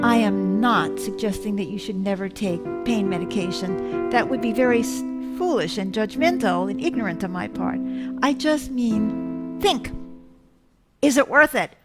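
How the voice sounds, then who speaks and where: Woman in her seventies, fairly high voice, rambling self-mocking monologue, loud guitar music behind. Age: 70s. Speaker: host. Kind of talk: casual monologue to viewers.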